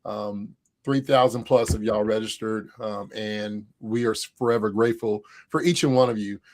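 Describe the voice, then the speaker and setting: A man's voice: fairly low pitch, fairly even intonation, moderate pace, darkish tone. A man co-hosting an online HR event over a video call, in an upbeat, joking, casual manner with frequent fillers. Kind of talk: casual livestream chat.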